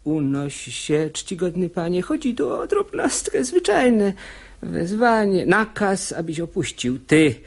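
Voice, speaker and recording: Unctuous, husky old bailiff. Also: male voice, high voice, sing-song, slow, full character voice. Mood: smug, officious. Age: older. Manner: oily, over-polite, officious. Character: unctuous, pedantic old court bailiff, smugly polite. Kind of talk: theatrical radio drama acting in verse.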